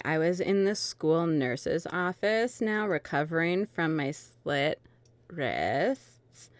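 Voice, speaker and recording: A woman narrating an amateur dramatic reading of fan fiction, informal and halting as she works through the text. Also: low voice, no room sound. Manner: informal, halting, stumbling over misspelled text.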